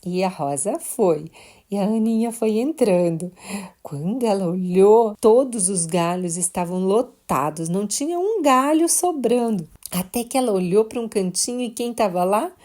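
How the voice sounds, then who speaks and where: Mellow Brazilian woman telling a bedtime story. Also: mid-range voice, animated, moderate pace. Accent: Brazilian Portuguese. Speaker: children's storyteller. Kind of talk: bedtime story narration for children.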